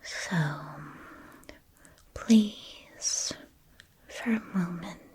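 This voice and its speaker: A woman whispering a guided ASMR meditation, speaking gently and soothingly in measured phrases with long pauses. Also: mid-range voice, fairly even intonation, husky.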